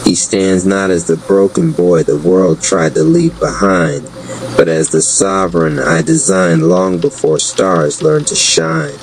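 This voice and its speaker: Male narrator, very low voice, unhurried, solemn epic fantasy storytelling, noisy recording with background rumble. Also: sing-song, gravelly. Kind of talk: dramatic scripted spoken-word fantasy narration.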